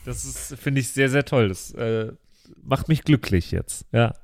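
A man's voice: medium pitch, measured pace, creaky. A man hosting a podcast in casual, off-script chat, with an informal, jokey manner. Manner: jokey, informal, breaking into chuckles. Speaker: podcast host. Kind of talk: casual off-script chat, listener thanks and shout-outs.

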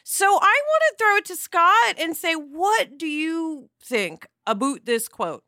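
Middle-aged woman, high voice, dry close sound, chatty podcast co-host, casual asides. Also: sing-song, takes it slowly, sharp tone, no room sound. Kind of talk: casual chat.

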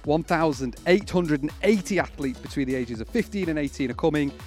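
A man presenting a scripted sports podcast in a brisk, upbeat way, over a music bed. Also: on the high side, animated, a little mellow. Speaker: podcast host.

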